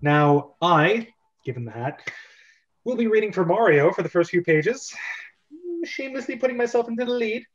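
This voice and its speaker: A man's voice: high voice, expressive, moderate pace. A man hosting a show, mixing theatrical scripted introductions with casual, self-deprecating chat. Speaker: host. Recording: dull, lo-fi microphone sound.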